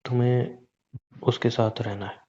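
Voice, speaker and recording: A man acting as a young, guilt-ridden husband in a relationship audio drama speaks with resigned earnestness. His delivery is fairly flat and gently bright-free, mellow and conversational, on dull lo-fi audio. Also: mid-range voice, unhurried, close to an everyday voice. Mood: apologetic, cautious. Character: weary, guilt-ridden cheating husband, resigned yet pleading. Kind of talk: naturalistic Hindi relationship audio drama, staged as a private conversation.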